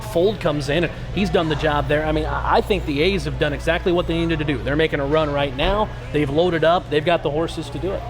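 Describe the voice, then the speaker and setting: Slightly high-voiced male sports panelist. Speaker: radio play-by-play voice, panelist. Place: restaurant sports bar. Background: music.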